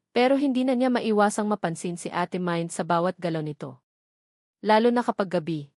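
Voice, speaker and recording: A woman narrating a scripted romance story in a steady storytelling manner, slipping English phrases into her narration. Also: medium pitch, no room sound, clean studio sound.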